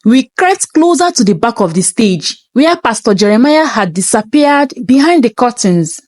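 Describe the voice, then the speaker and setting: A woman's voice: on the high side, sing-song, moderate pace, darkish tone, no echo, studio quality. A woman reading a scripted first-person story in a dramatic, suspenseful manner.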